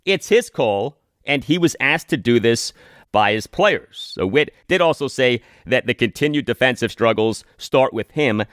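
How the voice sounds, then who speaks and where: A man hosting a sports podcast, speaking emphatically in commentary and reads, deliberately repeating his phrases. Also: medium pitch, expressive, fairly bright tone, dry recording, studio quality.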